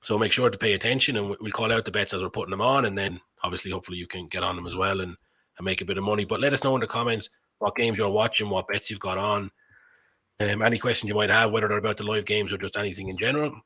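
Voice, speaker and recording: Fast-talking man hosting a live stream, nasal today. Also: fairly low pitch, steady tone, pushed and bright, slightly rough. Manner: chatty, addresses viewers directly. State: nasal-sounding, has a cold. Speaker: host of a live betting stream. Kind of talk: casual live stream chat. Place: in Belgium.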